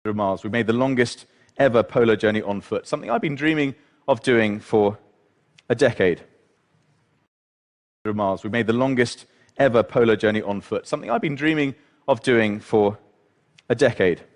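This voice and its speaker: A man giving measured, reflective documentary narration. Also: mid-range voice. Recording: reverberant film clip.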